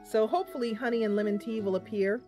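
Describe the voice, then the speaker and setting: A woman doing casual live game commentary as a streamer, chatty and a bit goofy, thinking out loud as she goes. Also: fairly high pitch, fast, faint music behind. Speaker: streamer and host of a gaming channel.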